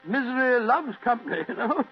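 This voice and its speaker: An actor playing a genial, blustering older British doctor in a vintage detective radio play. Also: male voice, very high-pitched, fairly quick. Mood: genial, consoling. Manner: avuncular, chuckling, stammering bluster. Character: genial, blustering older English gentleman doctor, loyal sidekick. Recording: dull, hissy old radio recording with hum.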